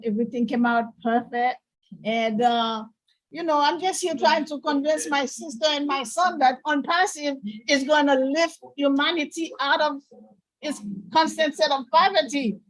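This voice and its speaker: A woman from Haiti speaking in an excited, informal way as a participant in an online meeting. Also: high voice, moderate pace, no room sound, rough recording.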